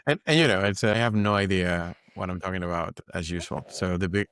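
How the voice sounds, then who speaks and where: A man who is not a native English speaker hosts a casual interview, with plenty of teasing and jokes at his own expense. His voice is low, and the recording is dry and close. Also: a little fast, fairly bright tone, smooth, no echo. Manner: teasing, self-deprecating. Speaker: podcast host.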